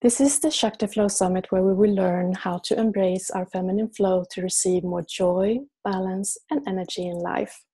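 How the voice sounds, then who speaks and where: A woman with a Swedish accent hosting an interview, welcoming and gentle. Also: medium pitch, steady tone, normal speed, slightly rough. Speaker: host.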